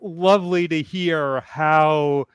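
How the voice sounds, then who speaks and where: A man speaking as a panelist in a fan discussion, hesitant and thoughtful, often halting as he phrases things. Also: on the high side, steady tone, clear, resonant tone, dry recording.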